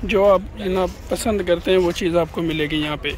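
High-voiced guy walking an outdoor market, chatty, phone mic. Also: moderate pace, a little hiss or hum. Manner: chatty, keeps addressing viewers as "janab". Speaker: vlogger. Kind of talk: walking market tour vlog. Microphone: phone camera held by the walking speaker.

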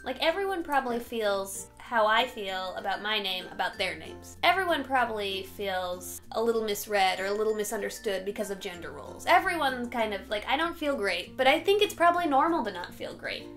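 A woman's voice: medium pitch, lively intonation, a little fast, few pauses, a bit bright, faint music behind. A young woman in a casual, personal chat, telling stories animatedly on a couch indoors. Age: young adult. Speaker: vlog guest. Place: small room indoors, on a couch.

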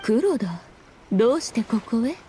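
A woman's voice: fairly high pitch, sing-song, unhurried, warm tone, slight background noise. A woman voicing a proud, sly, ageless fox-spirit shrine maiden in an anime game, with haughty, old-fashioned speech. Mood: indignant.

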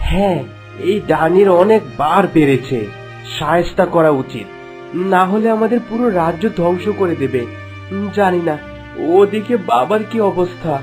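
A resolute young fairy-tale prince from a cartoon, acted with an earnest, protective manner. The voice reassures and takes charge, set over clear background music. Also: medium pitch, lively intonation, normal speed, darkish tone, close to an everyday voice.

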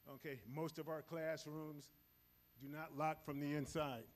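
A man's voice: on the high side, measured pace. Man presenting in a large auditorium. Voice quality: loud voice. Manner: energetic, moves around the stage while talking. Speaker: safety training facilitator. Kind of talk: live presentation to an audience. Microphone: handheld microphone.

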